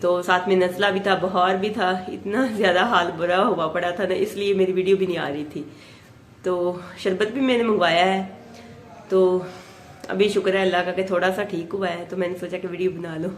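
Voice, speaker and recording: A woman from Pakistan with a Pakistani Urdu accent, vlogging her daily routine at home, chatting softly with a hoarse voice from a sore throat. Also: on the low side, steady tone, brisk. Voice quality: soft, chatty, full of blessings and "ji".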